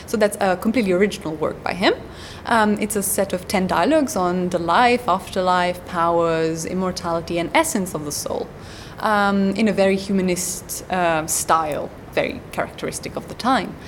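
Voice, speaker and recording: A woman guest on an academic interview, explaining at length and pausing often with ums and uhs. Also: lowish voice, a little hiss or hum. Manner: hesitant, many ums and uhs. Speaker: guest scholar.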